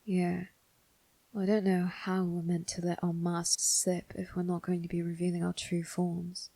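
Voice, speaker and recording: A sly demon servant, played by a woman, speaks with a gravelly, husky voice in a very dry, close recording. The delivery is teasing and menacing, slangy and informal, made for an ASMR roleplay. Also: fairly low pitch, completely flat delivery, measured pace, warm tone, dry recording.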